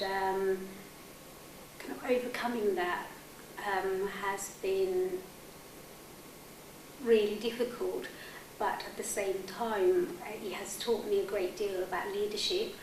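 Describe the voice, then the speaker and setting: A woman in her thirties, originally from Bangladesh, giving a reflective interview testimonial in a halting, thinking-aloud manner. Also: medium pitch. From born in Bangladesh, moved to the UK as a child. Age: 30s. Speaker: arts fellowship participant, artist educator. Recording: roomy, distant mic, clearly noisy.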